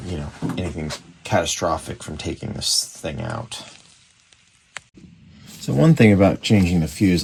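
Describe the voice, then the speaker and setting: A man's voice: low voice, vocal fry, heavy background noise. A man giving casual hands-on commentary on a repair, musing and thinking aloud as he works indoors at a bench. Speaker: radio repairer presenting a restoration.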